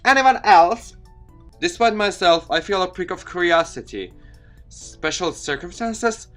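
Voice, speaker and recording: A man giving an expressive read-aloud narration of a visual novel game as a let's-player. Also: sits high, unhurried, faint music behind.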